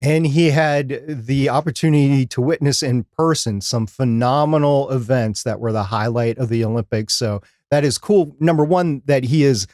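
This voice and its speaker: A man's voice: mid-range voice, moderate pace, few pauses, a little mellow, no room sound, studio quality. A man co-hosting a podcast, giving his opinions in a casual live discussion. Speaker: podcast co-host.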